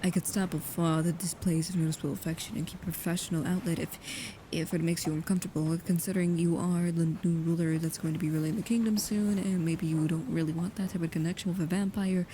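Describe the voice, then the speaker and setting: A woman playing a devoted, anxious, shyly affectionate vampire bodyguard in an intimate ASMR roleplay, speaking softly and haltingly, often near a whisper. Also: lowish voice, close to an everyday voice. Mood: anxious, apologetic. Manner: hesitant, halting, soft-spoken, often dropping to a whisper. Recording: clearly audible background ambience noise.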